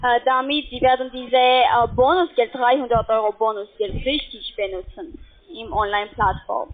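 A woman who is a non-native speaker with a foreign accent, making a halting telephone sales pitch in broken grammar on a phone line. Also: steady tone. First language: not German. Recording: tinny, muffled phone line.

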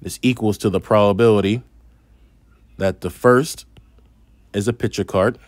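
Male math teacher, lowish voice, slight lisp, patient step-by-step delivery, dry close-mic voice-over. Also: fairly even intonation, normal speed, dry recording. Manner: patient, step-by-step explaining with asides. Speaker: math instructor. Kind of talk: screen-recorded math lesson.

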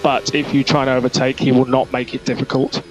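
A man working as a race engineer gives terse, measured instructions over team radio, often repeating them. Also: medium pitch, flat delivery, lo-fi sound. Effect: team radio transmission. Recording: noisy team radio with vehicle sound.

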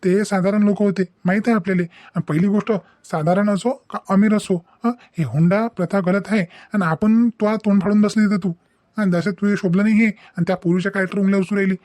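A man's voice: high-pitched, steady tone, fast talker, darkish tone, dry recording, studio quality, close to an everyday voice. A man playing an indignant, principled young son in a cartoon, lecturing emphatically in a Vidarbha Maharashtrian dialect. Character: indignant, principled young adult son defying his mother. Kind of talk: comic family drama cartoon dubbing.

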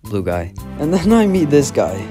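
Young man, gaming streamer, excitable, repeats himself, voice chat mic. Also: mid-range voice, normal speed, music in the background. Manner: excitable, repeats words in bursts. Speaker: streamer narrating his episode. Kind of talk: casual narration and voice chat.

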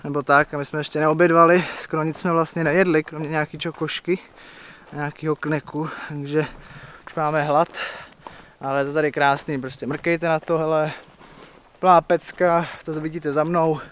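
A man's voice: on the high side, little pitch movement, fairly bright tone, a little hiss or hum. A young man chatting casually and in slang to a handheld camera while hiking on an outdoor trail. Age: young adult. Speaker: hiking vlogger. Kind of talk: casual vlog chat. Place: outdoors on mountain and forest trails. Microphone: handheld camera at arm's length.

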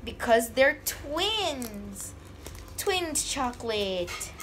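A woman's voice: on the high side, sing-song, drawn-out words, a bit bright, slight background noise. A woman unboxing candy on camera in a casual vlog, joking and pretending to be scared. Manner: playful, mock-scared squeals and jokes. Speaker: vlogger. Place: indoors at a table. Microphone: phone camera held over a table.

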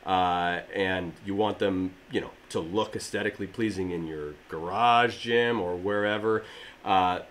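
A man in his thirties with a slightly raspy voice, casually explaining a DIY project to camera in his home garage gym, with plenty of fillers. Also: deep voice, fairly even intonation, a little slow, a bit bright, a little hiss or hum. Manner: rambling, filler-heavy, friendly explainer. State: allergies. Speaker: fitness DIY channel host. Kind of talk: casual how-to talk to camera.

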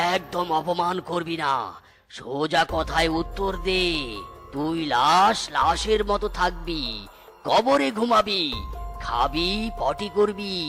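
Guy doing a bossy old-school tantric guru for a cartoon, slow deliberate talk, highish voice, music behind. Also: gravelly, fairly bright tone, exaggerated voice. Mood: stern. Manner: commanding, chanting, deliberate. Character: stern holy tantric exorcist, commanding and fatherly. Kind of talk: theatrical children's cartoon acting.

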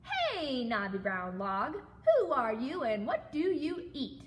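A woman voicing a big, brash, nosy male frog in a children's storybook, shouting boastfully and drawing out the words. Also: high-pitched, huge pitch swings, in a large hall, full character voice. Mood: brash, loud. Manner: shouting, boastful, drawn-out delivery.

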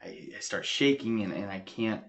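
An actor playing an anxious, deferential young war veteran with an American accent, speaking haltingly in a therapy interview drama. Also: male voice, lowish voice, fairly bright tone, slight background noise, natural voice. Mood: uneasy, halting. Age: young adult. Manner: halting, subdued. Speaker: actor as patient. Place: small furnished room, indoors.

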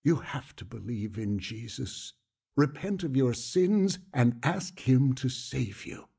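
Slow, husky, earnest pastor. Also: male voice, medium pitch, expressive, full character voice. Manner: earnest, gently instructive, quotes scripture solemnly. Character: patient, earnest male pastor counseling a young woman. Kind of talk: audio drama dialogue, preaching.

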